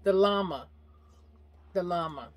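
A woman's voice: fairly low pitch, a little slow. A woman in her forties telling a story in a casual chat, laughing often, filmed by a camera at arm's length inside a parked RV. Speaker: vlogger.

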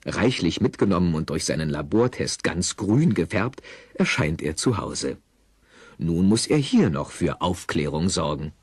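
Husky, lively low-voiced male narrator. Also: a little slow, no echo, clean studio sound. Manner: wry, storytelling. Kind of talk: scripted, playful audio drama narration.

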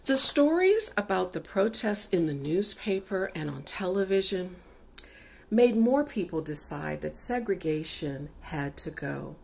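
A middle-aged woman reading a children's picture book aloud with deliberate, emphatic, dramatic phrasing. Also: on the low side, very animated, creaky, a little mellow. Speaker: read-aloud storyteller.

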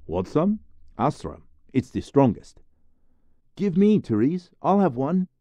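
A man voicing excited young boys on a summer outing, with an eager, raised boyish voice. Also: fairly high pitch, slow, dry recording, lo-fi sound, pitched above the performer's own voice, exaggerated voice.

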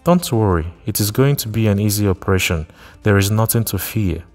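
A gentle, sympathetic village doctor in a storybook reading, as a male narrator voices him, with a low, steady, reassuring tone. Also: fairly quick, big-room sound, faint music behind, natural voice.

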